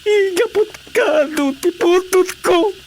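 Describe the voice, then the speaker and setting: Casting: burdened village dad, slow drawn-out pleading, radio comedy drama, slightly noisy track. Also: male voice, very high-pitched, a little mellow. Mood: tearful, aggrieved. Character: burdened poor village husband and father, pleading with the chairman.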